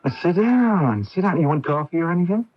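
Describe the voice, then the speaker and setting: A man playing a kind, earnest young medical intern in a 1980s radio drama, speaking haltingly and soothingly in a husky voice. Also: a little high, expressive, no echo, faint music behind. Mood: friendly, hesitant. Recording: dull lo-fi 1980s AM radio recording.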